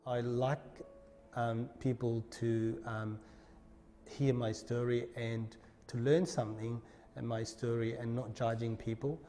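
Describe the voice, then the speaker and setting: A man, speaking in a relaxed, simple way in a short documentary interview. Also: medium pitch, takes it slowly, in a large hall. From Somalia. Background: guitar music.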